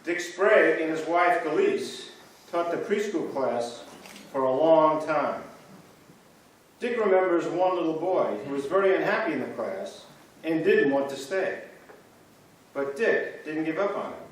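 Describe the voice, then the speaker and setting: A man performing reader's theater, reading scripted church history stories in a measured storytelling way, in a church. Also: a little high, animated, a little hiss or hum. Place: church sanctuary. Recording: echoey church from far off.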